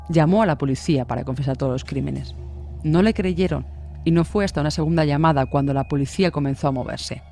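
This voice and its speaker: A man narrates a true-crime story in a scripted, dramatic style. His voice sits a little high for a man. Faint music plays behind him. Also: darkish tone.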